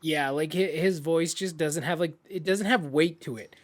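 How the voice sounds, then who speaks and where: A man with a fairly high voice, co-hosting a casual podcast and talking in a slangy, easygoing way. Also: normal speed. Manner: casual, slangy, laughs often. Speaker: podcast co-host. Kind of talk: casual chat.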